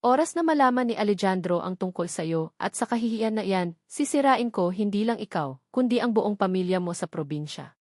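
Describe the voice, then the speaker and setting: A woman's voice: medium pitch, expressive, normal speed, a little mellow, dry recording, studio quality, close to an everyday voice. A woman voicing a cold, cruel, wealthy matriarch who speaks in contemptuous, commanding threats in an audio drama.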